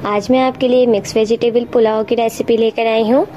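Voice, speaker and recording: Female home cook for recipe voice-over, high voice, roomy echo. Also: fairly even intonation, brisk, mellow, dull audio, slight background noise. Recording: echoey room, music underneath. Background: background music.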